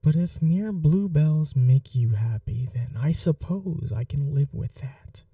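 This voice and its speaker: A performer voicing a possessive vampire noble in an ASMR roleplay, speaking softly and slowly with a teasing, condescending cruelty. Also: mid-range voice, no room sound, recorded from a distance. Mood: tender, possessive. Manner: slow, soft, breathy, condescending teasing.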